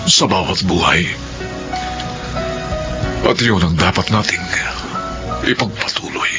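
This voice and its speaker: An actor playing an earnest widowed doctor, giving his testimony in a solemn, measured Filipino radio drama style. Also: low-pitched. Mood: solemn, resolute. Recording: dull radio recording under loud music.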